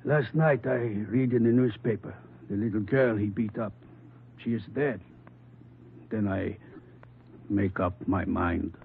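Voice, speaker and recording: A bitter, grave old father speaks with a foreign accent in formal, halting phrases. It is vintage radio drama, thin and narrow in band, with some noise behind. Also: on the low side, gravelly. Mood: bitter, ashamed. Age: older. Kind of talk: 1950s radio drama acting.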